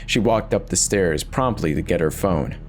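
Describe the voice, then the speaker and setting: A man's voice: low-pitched, expressive, moderate pace, slight background noise. A man giving an intense, theatrical first-person reading of a horror story as its narrator.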